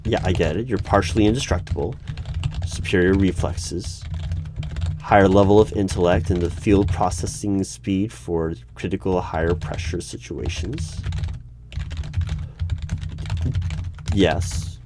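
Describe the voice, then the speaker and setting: A man playing an exasperated, argumentative hiring manager in an audio roleplay, speaking haltingly and in formal wording. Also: sits low, background noise. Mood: impatient. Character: exasperated male human-resources hiring manager interviewing a robot. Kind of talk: one-sided audio roleplay.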